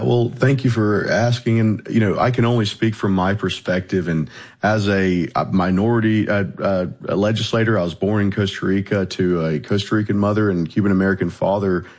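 A man speaking as a state legislator and guest in a political panel discussion. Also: sits low.

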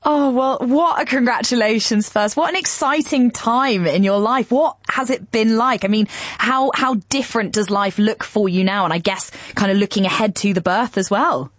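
A woman interviewing a guest conversationally, encouraging and gently probing with her questions. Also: a little high, moderate pace, dry recording, clean studio sound.

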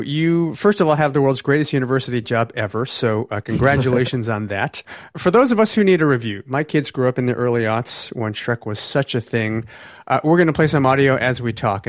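Radio host guy, middle aged, normal pitch, chatty interview, dry studio sound. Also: dry recording. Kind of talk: conversational broadcast interview.

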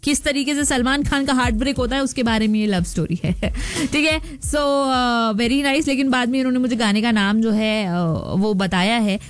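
A woman's voice: a little high, moderate pace, hardly any pauses. A young Indian woman hosting a radio show in a casual, playful, chatty way, slipping English phrases into her talk. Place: radio studio.

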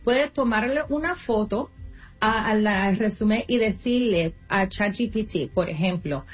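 A woman's voice: mid-range voice, measured pace, highs cut off, faint music behind. A woman who is a guest on an interview, coaching job seekers and explaining in an earnest way, sometimes restarting her words. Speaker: guest, nonprofit career coach. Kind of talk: interview answers, advice.

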